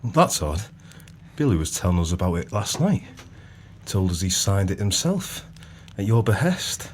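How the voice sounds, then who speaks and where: A man acting in an audio play as a sly, vengeful pub landlord, measured and pointedly polite with cold menace. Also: sits low, sing-song, a little hiss or hum. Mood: slyly probing.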